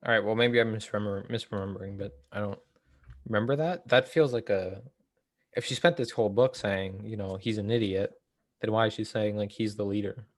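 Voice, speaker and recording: A young man with a fairly low voice, recorded dry and close. He talks casually about political theory as a co-host, hedging and feeling his way through each thought. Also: steady tone, dry recording. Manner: halting, hedging, thinking aloud. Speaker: co-host of a book read-along series.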